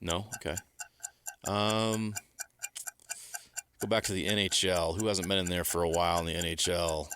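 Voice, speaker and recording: A man with a slightly low voice, co-hosting a sports radio show. He banters informally and thinks out loud, with some clear noise behind him. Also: fairly even intonation, moderate pace, pauses often. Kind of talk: casual sports talk banter. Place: radio studio.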